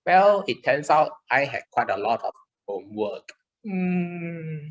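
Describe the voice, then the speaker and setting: Children's puppet show: woman as caring, chirpy child puppet, very animated pitch, unhurried, exclamatory delivery. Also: rough recording. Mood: cheerful, thankful. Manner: slow, exclamatory, encouraging, simple explanations.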